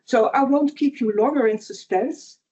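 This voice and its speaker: A woman moderating a lecture, introducing the speaker in a polite, measured way. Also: sits high, dark tone, husky. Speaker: event moderator. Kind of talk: formal introduction. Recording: dull lo-fi sound.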